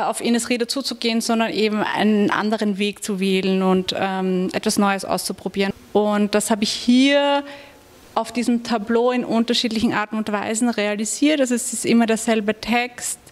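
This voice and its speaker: A woman in her thirties, an artist speaking thoughtfully into a handheld mic about her work, pausing to search for words. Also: a little high. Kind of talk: talking about her artwork. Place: gallery room. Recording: long gallery reverb.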